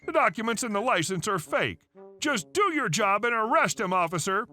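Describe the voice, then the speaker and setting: Male reader voicing an indignant entitled mother, bright and bossy, faint music behind. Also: high voice, sing-song, normal speed, voice pushed up, heavily acted. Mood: shrill, accusing. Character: loud entitled middle-aged mother, accusing and shrill.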